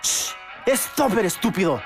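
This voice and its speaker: A woman's voice: medium pitch, big pitch swings, moderate pace, creaky. A woman voicing a caring but firm doctor with a Mexican accent in a video game. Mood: scolding. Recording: loud music behind.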